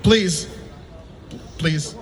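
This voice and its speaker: A Moroccan-born man giving an impassioned outdoor rally speech, shouting over a crowd. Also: a little high, very slow, big-room sound. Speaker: rally speaker.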